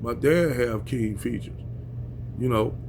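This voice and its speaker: A man's voice: mid-range voice, takes it slowly, noisy background. An American man in a casual rant at home, talking bluntly and with heavy profanity, chuckling at his own points. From United States. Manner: blunt, heavily profane street talk, chuckles at himself. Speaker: vlogger.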